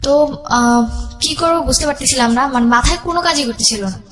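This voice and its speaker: High-voiced young woman telling her story. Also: fairly bright tone. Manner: halting, repeats herself, mixes in English words. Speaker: guest telling own life story. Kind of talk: personal spoken storytelling.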